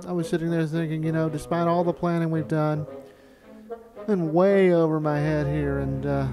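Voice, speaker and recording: Higher-pitched man reminiscing over music. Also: fairly even intonation, normal speed. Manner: conversational storytelling. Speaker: amateur filmmaker narrating a retrospective. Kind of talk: reminiscing documentary narration.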